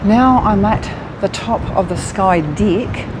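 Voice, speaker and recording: A woman's voice: medium pitch, slow, over music. A woman with a New Zealand accent, filming a walking tour vlog and sharing facts in a chatty voice that is easily impressed. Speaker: travel vlogger. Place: outdoors in a city at night, by a river. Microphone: action camera. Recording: outdoor city noise in the background. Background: city street and traffic sounds.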